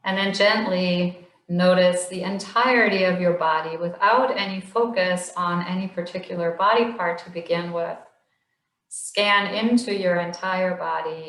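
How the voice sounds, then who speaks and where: A woman slowly leading a guided body scan meditation in a gentle, soothing voice with long pauses. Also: lowish voice, reverberant, rough recording. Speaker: meditation instructor.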